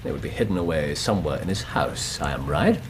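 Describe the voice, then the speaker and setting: An actor playing a probing, assured Victorian English detective in a radio drama, with a clipped, incisive delivery. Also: male voice, a bass voice, lively intonation, quick pace, noisy background.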